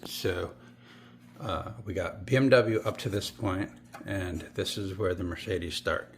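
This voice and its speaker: A man's voice: deep voice, measured pace. A man with a northern US accent, talking through his toy car collection in a rambling, offhand way, often correcting himself mid-sentence. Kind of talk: casual show-and-tell.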